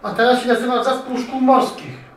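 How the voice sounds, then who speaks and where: A man's voice: very high-pitched, moderate pace, fairly bright tone. A man, a radio club instructor, adding short remarks from across the room. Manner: brief interjections from across the room. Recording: distant and roomy.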